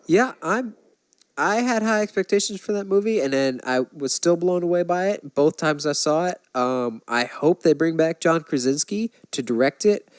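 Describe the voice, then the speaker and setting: A man's voice: on the high side, animated, normal speed, clean tone, no room sound. A man co-hosting a movie podcast, chatting casually and enthusiastically about films.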